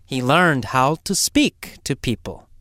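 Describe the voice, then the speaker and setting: A middle-aged man who teaches English, telling a simple story to learners slowly and with lots of repetition. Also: mid-range voice, no echo, clean studio sound. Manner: slow, animated, with heavy repetition for learners.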